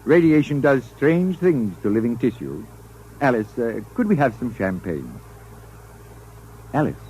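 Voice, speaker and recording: Courtly elderly doctor with sweeping intonation. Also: male voice, mid-range voice, sing-song, darkish tone. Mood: wistful. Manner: courtly, halting, emotional. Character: elderly doctor, gracious lonely host and family man. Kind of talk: 1950s radio drama acting. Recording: noisy vintage 1950s radio recording.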